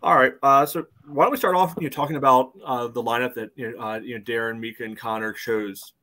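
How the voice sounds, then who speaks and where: Male podcast host, middle-aged, mid-pitched voice, loose rambling sports chat over a video call. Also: fairly even intonation, quick pace, sharp tone. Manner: rambling, frequent "you know". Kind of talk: casual sports discussion. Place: indoor room at home.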